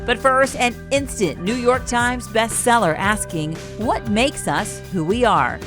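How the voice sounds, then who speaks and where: A woman's voice: on the high side, unhurried. A woman hosting a TV magazine show, reading a scripted introduction. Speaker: show host. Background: music.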